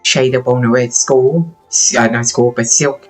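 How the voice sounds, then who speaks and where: A male game streamer talks casually to himself as he plays. His comments come in short, muttered fragments at a middling pitch. Light music runs in the background. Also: steady tone, a little slow, poor audio. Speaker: game livestreamer.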